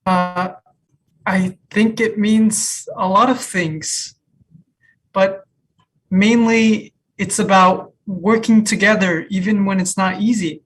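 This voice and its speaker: A woman acting an easygoing, frank, teasing co-op employee in a comedy table read, with a deadpan, measured delivery. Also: mid-range voice, slightly rough, low-quality recording. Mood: earnest, thoughtful. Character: easygoing, frank, teasing new co-op hire Noah.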